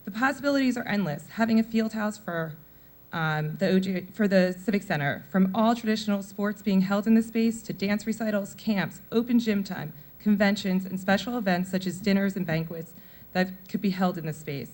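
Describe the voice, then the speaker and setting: A woman in her forties, a mother of four, reads a prepared comment at a town meeting. Her voice sits in a middle range, and she runs briskly through lists from her notes. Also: medium pitch, darkish tone. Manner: brisk, reading from notes with list-like delivery. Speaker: public commenter, parent. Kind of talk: prepared public comment speech.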